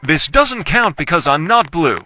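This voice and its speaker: Male gamer with a lively, higher-pitched voice. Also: unhurried, over loud music. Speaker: gaming video creator. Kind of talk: casual gameplay commentary.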